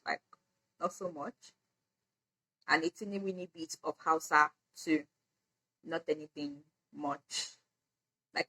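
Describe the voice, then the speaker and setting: A woman's voice: on the low side, bright, forceful tone, low-quality recording. A young Nigerian woman with a Nigerian accent, whose first language is Edo, chatting casually in a get-to-know-me vlog, with frequent "like" fillers and laughs. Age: young adult. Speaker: vlogger.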